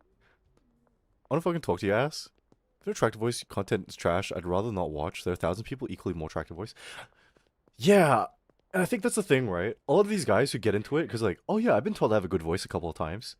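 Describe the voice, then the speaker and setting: A young Australian man rants on his stream with a lively, swinging delivery in a fairly low voice. A hint of American creeps into his accent as he swears and mocks people in put-on voices. His close mic sounds dry, with no room around it. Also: quick pace, no room sound. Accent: Australian with some American. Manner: animated, swearing, mocking voices. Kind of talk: casual ranting stream chat.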